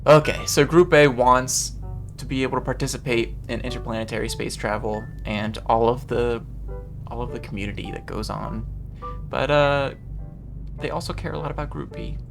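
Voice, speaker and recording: Expressive game streamer over clear music. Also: medium pitch, normal speed. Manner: expressive, reacting with exclamations. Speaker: gaming video host. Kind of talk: casual playthrough commentary, reading game text aloud. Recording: music behind the voice. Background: game background music.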